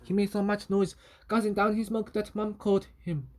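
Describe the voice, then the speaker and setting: A woman reading a children's story aloud in a halting way, repeating and correcting words as she goes. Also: mid-range voice, fairly even intonation, darkish tone, a little hiss or hum.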